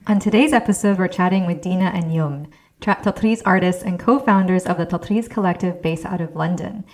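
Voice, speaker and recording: A woman podcast host reading the episode introduction in a smooth, scripted way. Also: fairly low pitch, fairly quick.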